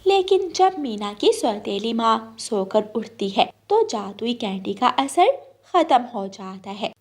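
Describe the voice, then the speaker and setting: A woman's voice: fairly high pitch, very animated, slow pace. A woman storyteller narrating a scripted children's story.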